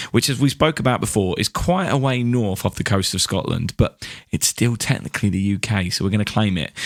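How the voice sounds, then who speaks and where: A British man co-hosting a podcast, talking casually in an upbeat, animated manner. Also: lowish voice, no room sound, clean studio sound. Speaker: podcast co-host. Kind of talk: casual space news podcast chat.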